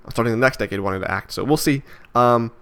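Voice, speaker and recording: A man's voice: mid-range voice, fast, a bit bright. A man in his twenties musing aloud in a vlog, hesitant and rambling, with many fillers and restarts. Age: 20s. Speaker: vlogger. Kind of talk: reflective casual monologue.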